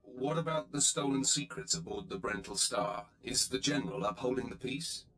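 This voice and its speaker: A man's voice: medium pitch, lively intonation, moderate pace, a bit bright, lightly acted. An actor playing an arrogant, menacing male Sith warrior in a video game, imperious and curt. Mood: curt, commanding. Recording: echoey, roomy sound.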